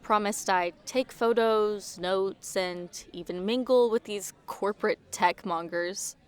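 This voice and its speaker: A woman's voice: medium pitch, slow, clear, resonant tone, natural voice. A woman acting a forthright, teasing young professional in an audio drama, with casual, blunt banter.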